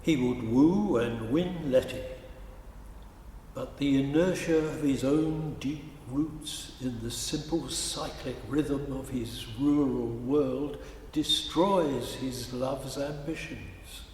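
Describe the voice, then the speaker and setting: Male narrator, unhurried and measured, literary storytelling, heard at a distance in a very echoey hall. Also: mid-range voice, a little mellow, slight background noise. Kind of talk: scripted narration of a musical cantata.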